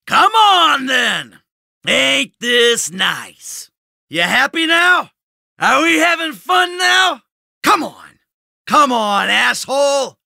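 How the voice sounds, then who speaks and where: A man's voice: very high voice, big pitch swings, takes it slowly, vocal fry, sharp tone, no echo, studio quality, full character voice. A man voicing a cynical, brash middle-aged American criminal in a video game, sarcastic and foul-mouthed. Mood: angry, taunting. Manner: sardonic, brash, swearing.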